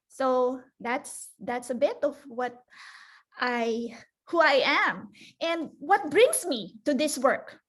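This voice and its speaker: Woman, high voice, unhurried, conference presenter, lots of ums and restarts, video call audio. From Philippines. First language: Filipino (Tagalog). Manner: hesitant, many fillers and restarts, laughs often. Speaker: librarian presenter. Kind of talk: conference talk.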